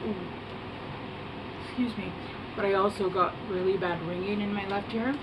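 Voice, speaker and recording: Soft-spoken woman over an air-conditioner hum. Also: medium pitch, steady tone, normal speed. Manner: soft-spoken, meandering, hesitant with many fillers. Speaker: tarot reader. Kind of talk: casual rambling tarot reading to camera.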